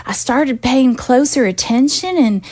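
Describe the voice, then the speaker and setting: A woman's voice: sits high, unhurried, darkish tone. A woman voicing an earnest, worried undead first lady who confides hesitantly about her daughter. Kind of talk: amateur audio drama acting.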